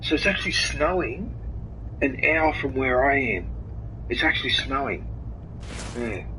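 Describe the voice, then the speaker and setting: A man's voice: mid-range voice, animated, a little slow, noisy background. Chatty man streaming a game. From Italy. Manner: chatty, talks straight to viewers, reacts aloud to the game. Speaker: gaming streamer. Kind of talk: casual livestream gameplay commentary.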